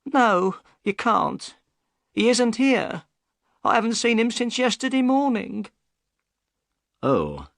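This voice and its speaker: A man voicing an upset, tearful working woman in high falsetto for a storybook reading. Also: wildly swooping pitch, slow pace, vocal fry, long pauses, fairly bright tone, no room sound, clean studio sound, exaggerated voice. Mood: worried, curt. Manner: high, strained falsetto, sobbing at times.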